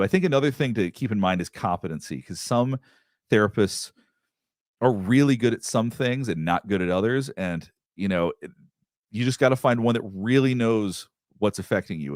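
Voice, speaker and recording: A man's voice: deep voice, dry recording, clean studio sound. A middle-aged man, a therapist, rambling casually through viewer questions with lots of restarts and fillers. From Southeastern United States. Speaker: therapist hosting a live Q&A. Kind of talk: casual livestream chat answering viewer questions.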